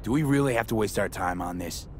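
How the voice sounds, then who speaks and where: Over clear engine and road noise, a male actor as a streetwise young merc talks in a fairly low voice. He is wry and slangy, trading casual teasing banter like a video game hero. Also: quick pace, close to an everyday voice. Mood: matter-of-fact.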